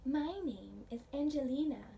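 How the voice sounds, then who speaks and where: High-voiced earnest schoolgirl, played by a woman. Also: measured pace, gravelly, dark tone. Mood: earnest, proud. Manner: earnest, gaining confidence. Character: young schoolgirl proudly sharing her summer with class. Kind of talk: children's storybook read-aloud. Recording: distant mic with faint background noise.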